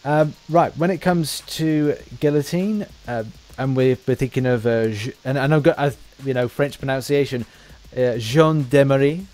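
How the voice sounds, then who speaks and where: Male podcast host, medium pitch, informal, questions and asides delivered in a stumbling, stop-start way. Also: a little mellow, soft background music. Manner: halting, stumbling over phrases. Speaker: podcast interviewer. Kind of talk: casual interview chat.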